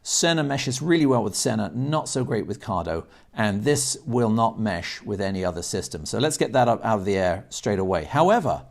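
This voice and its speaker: A man's voice: fairly low pitch, big pitch swings, moderate pace, vocal fry, hardly any pauses. A man in his sixties giving a casual unboxing and review talk to camera, chatty and explanatory, leaning on key points. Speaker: product reviewer. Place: indoors, garage-like room.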